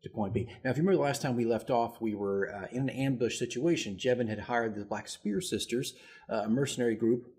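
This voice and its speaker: Husky man rambling through a story. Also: mid-range voice, fast, a little mellow. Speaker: dungeon master keeping a campaign diary. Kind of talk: casual solo storytelling recap.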